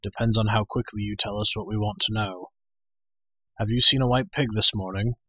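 A man's voice: on the low side, steady tone, normal speed, pushed and bright, no room sound, poor audio. A man voicing a stern, authoritative warrior prince in a storybook, speaking firmly and with restraint. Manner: firm, severe, restrained. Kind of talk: storybook character voice.

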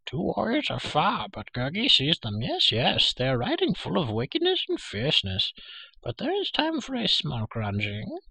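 A man's voice: on the high side, wildly swooping pitch, moderate pace, creaky, sharp tone, slightly rough, no room sound, pitched above the performer's own voice, exaggerated voice, rough recording. A man voicing a greedy, fawning, whimpering hairy creature for an audiobook, pleading and full of self-pity. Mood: wheedling. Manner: pleading, self-pitying, third-person chatter. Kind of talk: audiobook character voice.

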